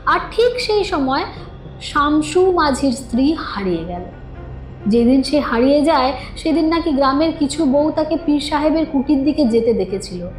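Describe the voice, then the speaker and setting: High-pitched woman narrating suspense, echoing. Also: expressive, normal speed. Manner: dramatic, storytelling delivery. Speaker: narrator of a horror audio story. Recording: echoing studio voice with music underneath. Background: background music.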